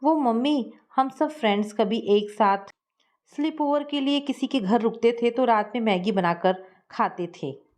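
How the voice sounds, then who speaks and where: A woman's voice: on the high side, measured pace, darkish tone, close to an everyday voice. Woman voicing chirpy young daughter-in-law. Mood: cheerful. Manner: chirpy, plain-spoken. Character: young new daughter-in-law, cheerful and frank.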